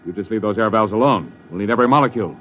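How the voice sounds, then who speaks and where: Male actor as stern starship officer, low, clipped, scornful, old radio drama with dull, noisy sound. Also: racing, natural voice. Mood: scornful, exasperated. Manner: curt, clipped commands and sarcasm. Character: gruff, scornful senior spaceship officer. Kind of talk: 1950s radio drama acting.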